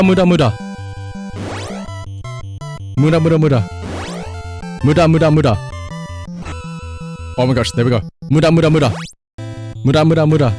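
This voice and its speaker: Animated higher-voiced man streaming a game. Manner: swearing, repeating command words for voice recognition. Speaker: live streamer. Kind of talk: casual live gaming stream commentary.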